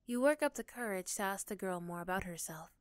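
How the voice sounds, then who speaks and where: A woman's voice: mid-range voice, expressive, brisk, no echo. A young woman narrating a second-person ASMR story as its narrator, close to the microphone. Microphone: close, dry microphone.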